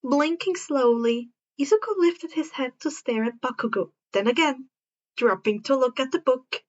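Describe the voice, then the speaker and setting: A woman reading fan fiction aloud as an expressive story narrator. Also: high voice, normal speed, dull audio.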